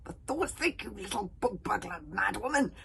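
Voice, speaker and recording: A woman's voice: medium pitch, animated, moderate pace, creaky, background noise, voice pushed up. A woman voicing a chatty, cheeky young Viking girl who talks breezily.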